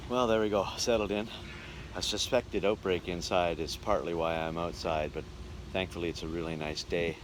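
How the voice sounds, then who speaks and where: Deep-voiced man vlogging over clear background noise. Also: fairly even intonation, moderate pace. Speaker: touring musician vlogging. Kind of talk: casual vlog narration. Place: outdoors and in a vehicle. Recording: noisy outdoor and vehicle ambience.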